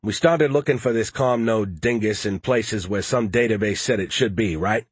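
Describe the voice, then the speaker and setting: A man's voice: fairly low pitch, normal speed, slightly rough, dry recording. A man voicing a wry, plain-spoken American soldier with casual, defensive dry banter, in an audiobook. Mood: casual, insistent.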